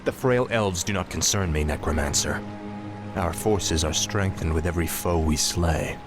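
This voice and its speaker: An actor playing a cold, arrogant human prince turned death knight in a fantasy video game, speaking in a haughty, menacing, declamatory way. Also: male voice, sits low, moderate pace. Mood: cold arrogance. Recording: loud music behind the voice.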